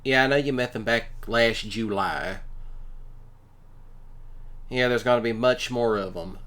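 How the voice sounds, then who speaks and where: A man improvising a casual boyfriend roleplay, talking in a folksy, halting way with drawn-out pauses. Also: mid-range voice, a bit bright. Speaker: ASMR roleplay performer.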